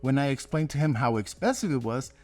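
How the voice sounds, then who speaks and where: A man's voice: medium pitch, animated, a little fast, darkish tone, soft background music. A man hosting his own channel as an inventor, narrating a scripted video update to viewers. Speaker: host and inventor.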